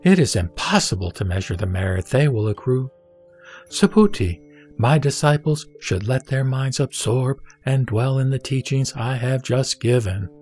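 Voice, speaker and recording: Wise male spiritual master, played by a man, mid-pitched, solemn and instructive, for a sutra audio drama. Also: animated, normal speed, a little mellow, faint music behind, natural voice. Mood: solemn teaching. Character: the Buddha, a wise, composed male spiritual teacher.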